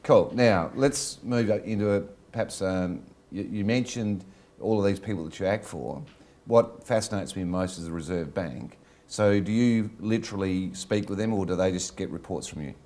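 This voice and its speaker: A man's voice: deep voice, moderate pace, darkish tone. A man hosting a studio interview, asking friendly, chatty questions with plenty of fillers. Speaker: host. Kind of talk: casual interview talk. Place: radio studio.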